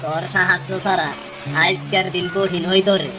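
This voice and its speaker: Woman doing a low-voiced humble village fisherman. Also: steady tone, normal speed, lightly acted, poor audio. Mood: grateful. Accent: eastern Bengali rural dialect. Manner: humble, earnest. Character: weary, grateful, hardworking poor fisherman. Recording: loud music behind the voice.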